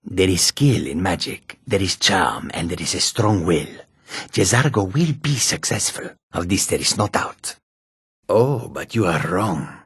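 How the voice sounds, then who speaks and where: Deep, husky, self-assured catfolk adventurer. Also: male voice, expressive, unhurried, no room sound, studio quality, exaggerated voice. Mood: proud, confident. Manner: self-assured, formal, speaks of himself in third person. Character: proud, courteous male catfolk mage and warrior. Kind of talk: video game character dialogue.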